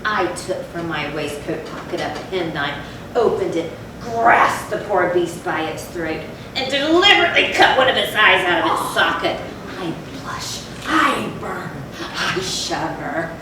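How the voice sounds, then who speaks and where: Tormented confessor in gothic readers theatre, played by a woman: formal, deliberate delivery, distant from the mic with heavy background noise. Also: lowish voice, expressive. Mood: horrified, intense. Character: tormented, confessing animal lover turned cruel drunkard. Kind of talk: dramatic reading of gothic horror story. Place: large echoey room, far from the mic. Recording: very echoey, distant, noisy live hall recording.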